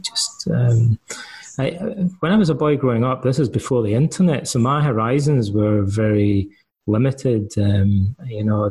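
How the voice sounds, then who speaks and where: A man's voice: fairly low pitch, warm tone, breathy edge, poor audio. A middle-aged Scottish man speaking as a podcast guest, thoughtful and hesitant with fillers and restarts. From Scotland. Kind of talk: conversational interview answers.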